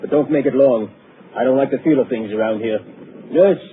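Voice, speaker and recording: An actor playing a jumpy, rough-spoken ward attendant. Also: male voice, medium pitch, fairly quick, natural voice. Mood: uneasy. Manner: blunt, working-class, plain-spoken. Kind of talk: 1940s radio acting. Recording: tinny old radio broadcast, faint hiss.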